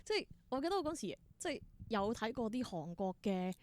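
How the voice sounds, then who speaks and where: A woman with a Hong Kong Cantonese accent, telling stories casually as an interview guest, expressive and mixing in English words. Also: a little high, a little fast. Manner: expressive, exclaims in wonder, mixes in English words. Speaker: interview guest, theatre performer.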